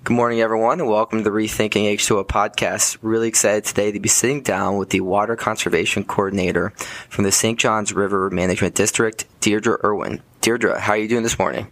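A man's voice: lowish voice, flat delivery, fairly quick, a bit bright. A young man hosting a podcast interview, upbeat and friendly. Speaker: podcast host.

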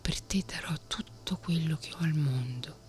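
A woman voicing a grieving fairy-tale king in a soft whisper, low in pitch, with faint music behind. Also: normal speed, natural voice. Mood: sorrowful.

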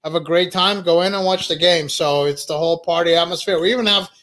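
A man in his thirties, from Russia, chats easily as a podcast guest, his voice sitting a little high. He tells his story in a relaxed way and laughs often. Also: fast talker. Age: 30s. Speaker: business owner guest. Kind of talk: casual interview chat.

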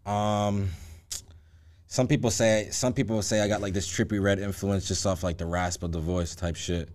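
A man's voice: fairly low pitch, fairly even intonation, moderate pace, darkish tone. A man who is a guest rapper, chatting casually in an interview with heavy slang and a loose, repetitive way of talking. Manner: loose, slangy, repeats himself.

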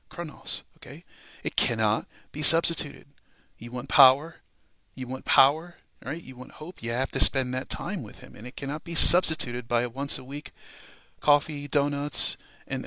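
A man speaks with a gravelly fry and a sharp, bright edge, recorded close and dry. He gives an informal devotional talk as a ministry podcast host. His delivery is earnest and emphatic, punctuated by short checks with the listener. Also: medium pitch, no room sound. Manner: earnest, emphatic, often checks with "okay?" and "all right?".

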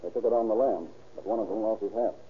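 An actor playing a businesslike, authoritative chief of detectives in a 1930s radio drama. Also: male voice, fairly low pitch, fast talker, natural voice. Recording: noisy, tinny old-time radio recording.

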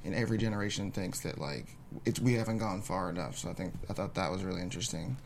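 A man's voice: sits low, quick pace, vocal fry. A man speaking casually and conversationally as an actor on a discussion panel. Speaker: actor on a table-read panel. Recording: background noise, room ambience.

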